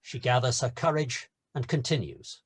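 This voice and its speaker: A man's voice: medium pitch, big pitch swings, moderate pace, slightly rough. A man narrating stage directions in a scripted screenplay table read.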